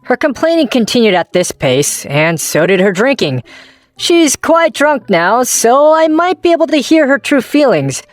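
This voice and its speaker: A woman's voice: high-pitched, big pitch swings, normal speed, no room sound, faint music behind. A woman voicing a young male office worker and ex-boyfriend in a manga dub, introspective and wryly self-deprecating, narrating and talking in character. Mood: wistful, wry.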